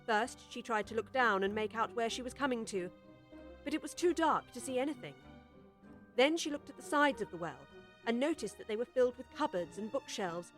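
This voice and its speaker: A woman narrating a children's storybook audiobook with expressive, scripted storytelling. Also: on the high side, brisk, soft background music.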